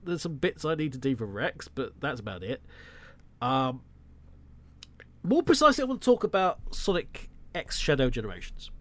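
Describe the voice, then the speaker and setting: A man's voice: mid-range voice, sing-song, moderate pace. A man hosting a solo podcast, sounding nasal and congested from a cold, talking with sarcastic, wry asides. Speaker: podcast host.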